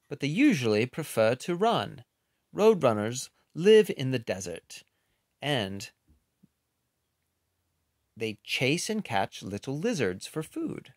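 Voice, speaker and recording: Male children's book reader, slow pace, animated mid-pitched delivery, close dry sound. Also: no room sound. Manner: expressive, unhurried, with playful asides. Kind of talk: children's book read-aloud with casual asides.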